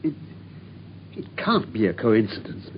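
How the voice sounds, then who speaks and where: An actor playing a brilliant, self-assured English gentleman detective in a theatrical, precise mid-century radio drama style. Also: fairly low pitch, sing-song, unhurried. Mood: agitated, disbelieving. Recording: muffled, faintly noisy old radio recording.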